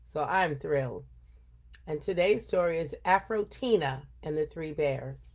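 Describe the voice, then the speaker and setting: An older woman hosting a storytime, speaking earnestly and deliberately, chatting informally and reading aloud for children. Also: low voice, a little mellow.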